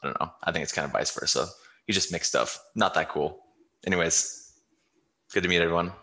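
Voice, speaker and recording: A man's voice: deep voice, fairly quick, pushed and bright. A young man from Colorado on a headset, bashful and self-deprecating while introducing himself. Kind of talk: casual self-introduction on a video call.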